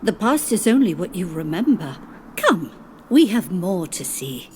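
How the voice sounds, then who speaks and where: A woman playing a solemn, cryptic spirit guide in a theatrical radio play, speaking in a measured manner. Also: fairly low pitch, very animated, slight background noise. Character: Ghost of Christmas Past, solemn female spirit guide.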